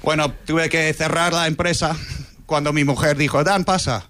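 A man's voice: a little high, fairly even intonation, moderate pace, sharp tone. A male stand-up comedian, not a native Spanish speaker, delivering a routine and acting out dialogue.

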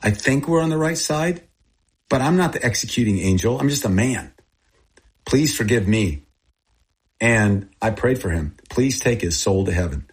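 A man in his sixties from Texas and Thailand, a native Thai speaker, telling personal stories in an earnest, confessional way in short plain phrases, with a husky voice. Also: mid-range voice, animated, moderate pace. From born in Texas, grew up in Thailand. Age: 60s. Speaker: interviewee, missionary aid group founder. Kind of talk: personal storytelling in an interview.

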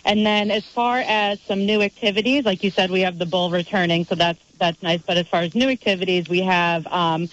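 A woman's voice: lowish voice, steady tone, a little fast, few pauses, muffled recording. A woman event organizer in an interview, upbeat and eagerly listing what is on offer. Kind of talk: casual interview chat.

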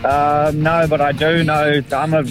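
A man calling a radio show by phone, relaxed and a bit hesitant. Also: on the high side, unhurried, soft background music. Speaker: caller. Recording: phone line.

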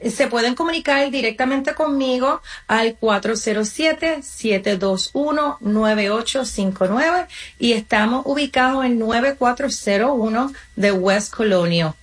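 A woman's voice: a little high, measured pace. A woman answering interview questions as a community organization guest, explaining her advice with examples and addressing the listener directly. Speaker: guest, community nonprofit representative.

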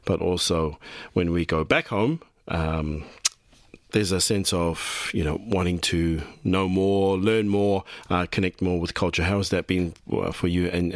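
A man's voice: deep voice, dry recording. A man hosting a community radio program from a studio, talking in a loose, rambling way with many restarts. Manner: warm-hearted, rambling, many fillers and restarts. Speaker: radio host. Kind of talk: community radio presenting and interviewing.

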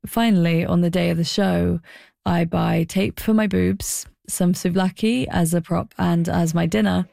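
A woman's voice: fairly low pitch, steady tone, unhurried, vocal fry, warm tone, no echo, clean studio sound. A woman narrating a personal documentary in a wry, self-deprecating way.

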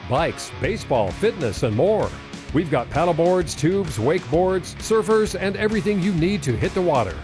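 A man announcing a radio commercial with a bright, lively read. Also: a little high.

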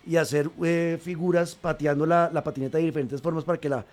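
A male host leads a live interview, nudging his guest along with frequent affirming murmurs. His voice sits a little higher than most men's. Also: steady tone, fast, a little mellow. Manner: encouraging, lots of "ujú" backchannels.

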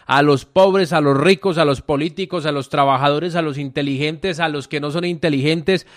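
Folksy Colombian man, slightly high voice. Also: fairly even intonation, moderate pace, dry recording, studio quality. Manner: folksy, colloquial, addresses listeners directly with diminutives. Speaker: natural medicine doctor giving health advice on a radio show. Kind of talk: conversational radio health advice and product promotion.

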